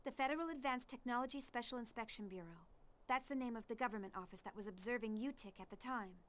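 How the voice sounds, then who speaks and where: Young female scientist played by a woman, fairly high voice, earnest and precise, video game cutscene style. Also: fast, a bit bright, lightly acted. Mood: resolute, somber. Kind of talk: English-dubbed video game acting.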